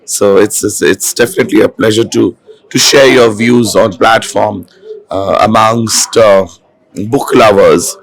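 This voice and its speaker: Hoarse, gravelly-voiced man, hesitant. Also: lowish voice, steady tone, measured pace, rough recording. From India. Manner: hesitant, many restarts and fillers. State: hoarse, has lost his voice. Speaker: author and film director. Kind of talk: festival interview. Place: literature festival venue. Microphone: handheld mic.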